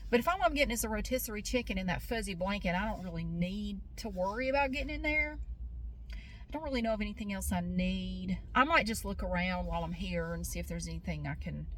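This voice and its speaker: A woman's voice: lowish voice, fast talker, fairly bright tone, a little hiss or hum. A middle-aged woman chatting casually in a vlog, rambling with lots of fillers and bursting into emphatic encouragement. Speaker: vlogger. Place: in her car, then inside a big store.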